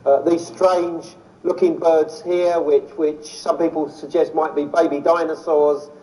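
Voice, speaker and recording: A man's voice: a little high, a little slow. A man from London with a London accent, giving an illustrated lecture in an enthusiastic manner. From London, England. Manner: enthusiastic, easily excited. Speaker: lecturer on ancient sites. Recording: voice distant from the mic.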